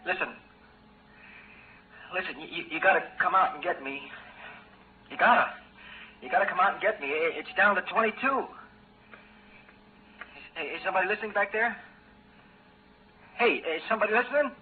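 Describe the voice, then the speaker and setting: An actor in a 1950s radio drama playing a stranded astronaut running out of oxygen, desperate and stammering. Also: male voice, sits high, normal speed, pauses often, lightly acted. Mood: shaken, pleading, then frantic, panicking. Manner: stammering, pleading, repeating himself. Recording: muffled, noisy vintage radio recording.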